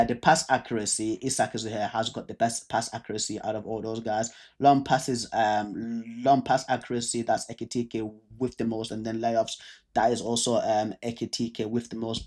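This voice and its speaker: Man, stammer, repeated words, casual football breakdown, chatty, mid pitch. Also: darkish tone. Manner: chatty, speaks straight to viewers. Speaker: football video creator.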